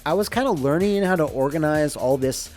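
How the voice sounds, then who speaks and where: A man's voice: on the high side, a little fast, darkish tone. A man giving an informal, conversational behind-the-scenes narration as a game developer, explaining things in a casual way. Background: music under the voice.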